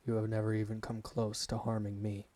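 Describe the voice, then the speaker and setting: Low-voiced, devoted werewolf servant, acted by a man. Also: completely flat delivery, darkish tone, natural voice. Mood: devoted, reverent. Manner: formal, measured, reverent address to a master. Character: devoted male alpha werewolf servant, earnest and deferential. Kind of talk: ASMR roleplay monologue.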